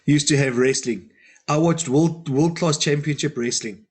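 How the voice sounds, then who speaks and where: A man's voice: a little high, moderate pace. Earnest man interviewing. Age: 40s. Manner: earnest, with frequent short agreeing replies. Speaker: interviewer, host. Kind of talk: casual interview chat.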